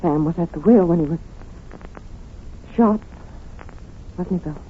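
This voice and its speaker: An actress playing a devoted, possessive wife in an old radio mystery, speaking with quiet, insistent intensity. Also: female voice, on the low side, a little slow, breathy edge. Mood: pleading, knowing. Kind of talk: 1940s radio drama acting. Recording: muffled, noisy old radio recording.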